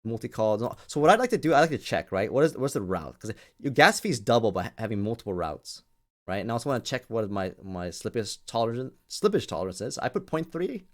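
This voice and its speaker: A man's voice: medium pitch, sing-song, quick pace. A man hosting a livestream with casual live commentary, speaking in repetitive, stop-and-start phrases and often addressing his listeners directly. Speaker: livestream host.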